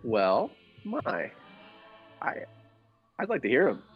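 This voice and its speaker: A man playing a mild henpecked husband plotting murder in a radio play, measured in narration and hesitant in conversation. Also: medium pitch, wildly swooping pitch, husky, highs cut off, faint music behind, full character voice. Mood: nervous, hesitant.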